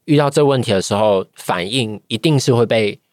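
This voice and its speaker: A man's voice: medium pitch, fairly quick, darkish tone, no echo, clean studio sound. A man with a Taiwanese Mandarin accent, reflecting in a podcast interview and often searching for his words. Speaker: guest, voice-acting trainee.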